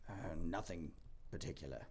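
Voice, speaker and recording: A man playing a defensive, earnest miser in an audio play, with a husky voice. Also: low-pitched, moderate pace, a little mellow, pitched below the performer's own voice. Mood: sheepish, subdued. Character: Ebenezer Scrooge, moved and defensive miser.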